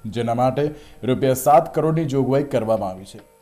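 A man's voice: medium pitch, animated, fairly quick, dark tone. A man reading scripted news narration as a voice-over. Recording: music bed under voice-over. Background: music.

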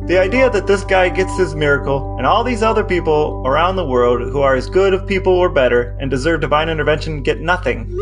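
A man's voice: a little high, brisk. An American man co-hosting a podcast, reading messages aloud and talking. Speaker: podcast co-host. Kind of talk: dramatic reading of text messages and podcast talk. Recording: guitar music behind the voice. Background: guitar music.

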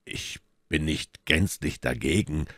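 This is a man reading as a composed musketeer who takes command in an audiobook adventure. His voice is very low, measured and authoritative, with wry calm. The recording is dry and close. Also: no room sound, heavily acted. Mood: cool, wry. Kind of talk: audiobook character dialogue.

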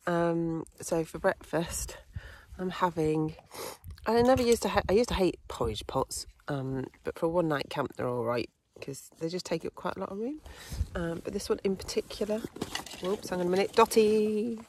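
A woman's voice: on the low side, normal speed, a little mellow. A woman in her thirties chatting casually to a phone camera held at arm's length outdoors on a hill, with lots of ums and little laughs. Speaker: hiking vlogger. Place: windy fell top and tent outdoors. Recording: faint wind noise outdoors.

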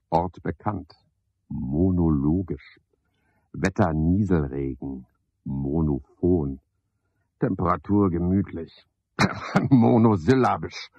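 An actor playing a cynical, scheming night porter who talks to himself in a sardonic, pedantic way in a radio play monologue. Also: male voice, deep voice, husky, no room sound, heavily acted. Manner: sardonic, pedantic, swinging from muttering to outbursts. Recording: dull, lo-fi, dry studio radio recording.

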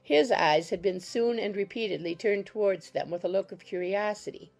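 A woman's voice: mid-range voice, moderate pace, vocal fry, darkish tone, soft background music. A woman reading scripted literary narration as the narrator of an audiobook.

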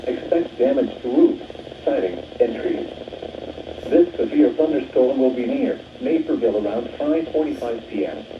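Male weather radio alert announcer, synthetic and mechanical; muffled speaker in an echoey room with clear noise. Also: mid-range voice, distant mic. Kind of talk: scripted weather warning announcement. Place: indoor room, replayed from small radios.

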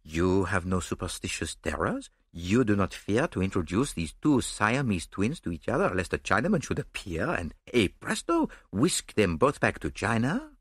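A man's voice: mid-range voice, huge pitch swings, normal speed, dry recording, voice pushed up. Man doing fussy belgian male detective, mocking, precise, sing-song swoops, dry close sound.

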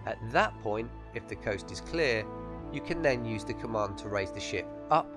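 Male tutorial narrator for game add-on, scripted explanatory read, animated intonation, music underneath. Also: fairly low pitch, normal speed. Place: voice-over with music behind.

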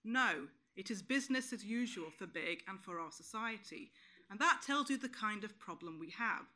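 Bright-voiced woman giving a pointed speech. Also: mid-range voice, expressive, moderate pace. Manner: firm, pointed, rhetorical questions. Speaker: panel speaker. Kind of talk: prepared policy speech. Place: conference room, panel table.

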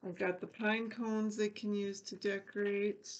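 A woman's voice: medium pitch, flat delivery, a little slow, muffled recording. An older woman hosting a casual crafting video, thinking aloud and poking fun at herself as she works at a table. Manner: chatty, self-deprecating, thinking aloud while working. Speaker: craft channel host. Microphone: fixed camera over a craft table.

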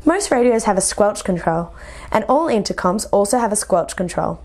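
A woman's voice: mid-range voice, expressive, moderate pace, a little mellow. Young Australian woman presenting a lesson. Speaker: flight instructor presenting a lesson. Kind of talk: scripted instructional narration.

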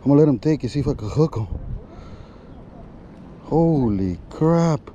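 A man's voice: fairly high pitch, lively intonation, normal speed, lo-fi sound. A man giving casual, slangy running commentary to viewers while fishing outdoors, recorded on a body-worn action camera. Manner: informal, slangy, addressing viewers as guys. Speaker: fishing vlogger. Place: outdoors on an ocean fishing pier. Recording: loud wind noise on action camera outdoors. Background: loud wind and sea ambience.

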